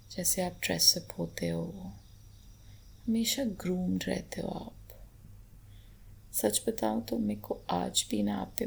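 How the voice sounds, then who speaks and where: A woman playing an affectionate, playful, devoted wife in an intimate romantic ASMR roleplay, speaking tenderly in a breathy half-whisper with light teasing. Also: on the low side, full character voice. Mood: playful, adoring. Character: affectionate, playful, devoted Indian wife adoring her husband. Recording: faint background noise.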